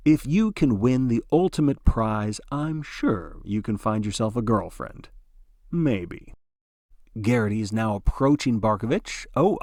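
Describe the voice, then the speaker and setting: A man's voice: fairly low pitch, a bit bright, no room sound, clean studio sound. A man narrating like a sports broadcaster, giving dramatic play-by-play in a theatrical, folksy announcer style.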